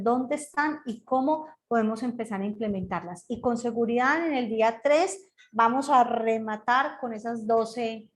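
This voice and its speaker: A woman's voice: on the high side, a little hiss or hum. A woman speaking as a grief counselor in an interview, earnest and listing her ideas one after another. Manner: earnest, lists ideas in series. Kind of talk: explaining in an interview.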